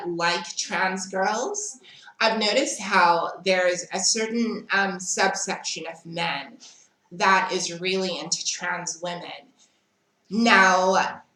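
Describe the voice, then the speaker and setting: A woman's voice: fairly low pitch, a bit bright. A young South African woman telling a story in a casual vlog, chatty, frank and unbothered. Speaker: vlogger. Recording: echoey room.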